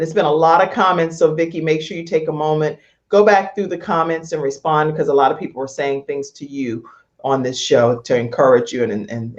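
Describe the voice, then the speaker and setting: A woman hosting a talk show in an animated, conversational style, effusive and emphatic, repeating phrases for emphasis. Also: deep voice, muffled recording.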